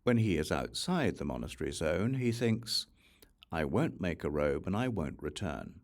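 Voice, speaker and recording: A man reading a scriptural audiobook in a measured, reciting manner, breaking sentences into short phrases. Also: deep voice, big pitch swings. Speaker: audiobook reader.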